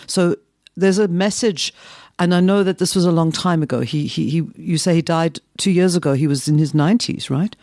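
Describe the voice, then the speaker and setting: A woman's voice: deep voice, warm tone, dry recording, studio quality. A woman hosting a podcast interview, asking curious questions and slipping Afrikaans words into her English.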